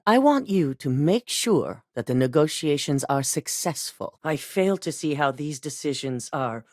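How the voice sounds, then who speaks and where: Casting: poised corporate executive woman, low-ish voice with lively pitch, measured and formal, dry studio sound. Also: no echo. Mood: calm. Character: composed corporate executive woman, cool and commanding. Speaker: corporate executive giving assignments. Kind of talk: video game voice acting.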